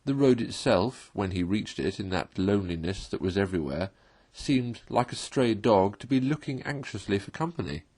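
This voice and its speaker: A man narrates a classic children's story in a slightly low, husky voice. His reading is expressive and highly animated, with wide movement in pitch. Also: normal speed, few pauses.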